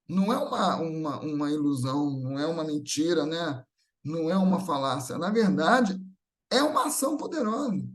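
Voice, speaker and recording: Male religious speaker, fairly high-pitched, teaching an audience through questions and appeals for agreement. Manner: rhetorical questions, seeking agreement with tag questions. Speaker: religious lecturer. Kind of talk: Spiritist talk, didactic public lecture.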